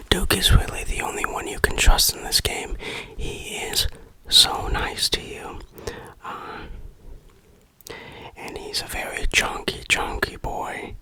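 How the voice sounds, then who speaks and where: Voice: measured pace, pushed and bright. A person whispering a casual game review in ASMR style.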